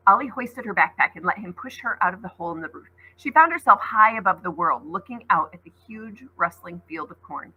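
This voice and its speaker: A woman teacher reading a novel aloud to students, expressive, stopping for short explanatory asides. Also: mid-range voice, a little fast, hardly any pauses, slightly rough, dull audio. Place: school classroom.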